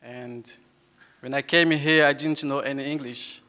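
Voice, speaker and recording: Young Brazilian man, Yawanawa native speaker, halting public talk, slow delivery, long hall reverb. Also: medium pitch, steady tone, pushed and bright. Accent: Brazilian Portuguese. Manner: halting, repeats words, laughs often. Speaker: invited speaker. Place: hall with an audience. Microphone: handheld microphone.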